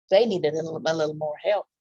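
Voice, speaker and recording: A woman with a husky voice reading a picture book aloud to young children, pausing for simple asides about the pictures. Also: fairly low pitch, no echo. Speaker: children's library storytime reader.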